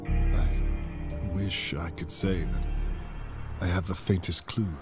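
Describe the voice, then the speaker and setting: Deep, brooding old private detective. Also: male voice, slow pace. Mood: brooding, weary. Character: ageing male private investigator, brooding, haunted father. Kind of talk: noir dramatic narration for a game trailer. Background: loud music.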